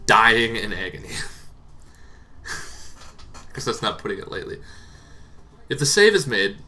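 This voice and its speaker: A man hosting a tabletop roleplaying show, explaining casually and off the cuff in a rambling, halting way that repeats words. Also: on the low side, sing-song, bright, forceful tone, slight background noise. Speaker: host of a tabletop roleplaying monster lore show. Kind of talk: casual unscripted explainer to camera.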